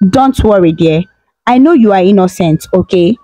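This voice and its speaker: A woman doing a calm kindly princess. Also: medium pitch, moderate pace, no room sound, soft background music, natural voice. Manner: calm and reassuring.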